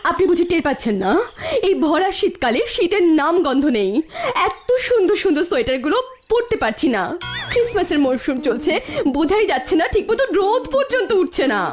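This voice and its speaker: Very shrill, dramatic cartoon lady. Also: big pitch swings, brisk, music in the background. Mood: complaining. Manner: plaintive, theatrical. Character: fussy, dramatic lady singer, complaining. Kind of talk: comic cartoon dialogue.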